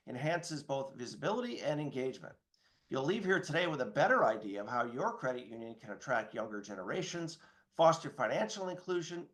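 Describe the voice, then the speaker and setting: A man's voice: medium pitch, fairly bright tone. A man hosting a webinar, giving a polished, formal scripted introduction. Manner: polished, formal announcer delivery. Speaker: webinar host.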